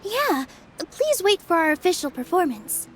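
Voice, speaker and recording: High-voiced, gentle young dancer. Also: female voice, sing-song, unhurried, heavily acted. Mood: considerate. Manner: polite, considerate. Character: gentle, earnest, kind young female dancer. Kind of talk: video game character acting. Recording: music behind the voice.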